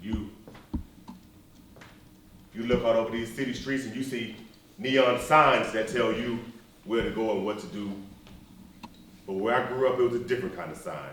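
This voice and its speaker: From far back in a heavily echoing hall, a male stage actor plays a dignified, earnest preacher and civil rights leader. His mid-pitched male voice moves in a measured, emphatic pulpit cadence, building through repeated phrases. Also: fairly bright tone, a little hiss or hum, natural voice. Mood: solemn, stirring. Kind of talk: live stage play acting. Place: stage in a reverberant room, recorded from afar. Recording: echoey hall, distant microphone.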